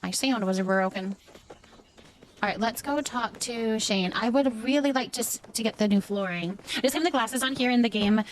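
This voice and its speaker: A woman's voice: a little high, normal speed, slight background noise, poor audio. A woman livestreaming a game, chatting casually with viewers and thinking aloud as she goes.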